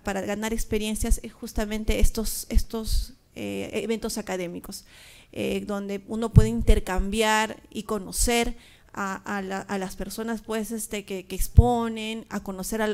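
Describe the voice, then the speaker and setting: Clear-voiced woman, halting delivery. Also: mid-range voice, a little mellow. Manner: halting, repeats words while searching. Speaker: conference panelist. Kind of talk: semi-formal conference talk.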